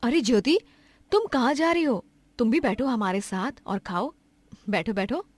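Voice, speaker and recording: A woman voicing a kind, generous lady of the house in a cartoon moral story, chatty and gently chiding. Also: fairly high pitch, animated, normal speed, no echo. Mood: friendly.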